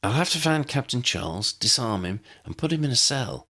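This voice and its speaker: An earnest young policewoman of an audiobook story, acted out by a male narrator, her voice lifted well up from his own. She is determined yet respectful, with a bright, pressing edge. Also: medium pitch, big pitch swings, fairly quick, pitched above the performer's own voice, exaggerated voice. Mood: urgent, determined. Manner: hesitant, deferential to superiors. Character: earnest, determined young female law-enforcement agent.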